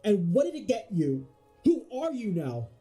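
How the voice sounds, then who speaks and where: A man's voice: sits high, sing-song, moderate pace, dark tone, soft background music, voice pushed up. A man playing a bitter, angry composer in an audio play, accusing and contemptuous. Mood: angry contempt. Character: bitter, furious composer berating his wife.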